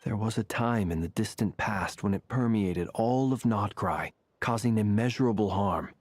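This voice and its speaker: An actor playing a solemn, courteous, centuries-old gentleman in a video game, speaking husky, measured, formal lines. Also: lowish voice, no echo, close to an everyday voice. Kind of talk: video game character acting.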